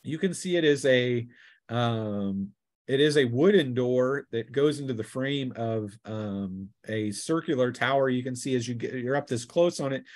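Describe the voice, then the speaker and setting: A man with a medium-pitched voice runs a tabletop roleplaying game. He describes scenes and rules off the cuff, explanatory and halting as he works things out. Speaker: game master. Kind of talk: improvised tabletop game narration.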